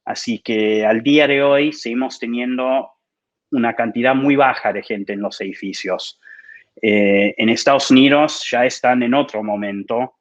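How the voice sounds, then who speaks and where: A man's voice: medium pitch, measured pace. American man on a dull lo-fi line. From United States. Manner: thoughtful, slips into English. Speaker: panelist. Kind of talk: panel discussion. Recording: dull lo-fi sound.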